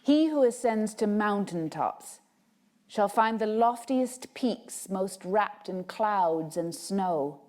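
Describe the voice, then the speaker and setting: A woman reading poetry aloud as a dramatic recital, with measured, expressive and deliberate phrasing. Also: medium pitch.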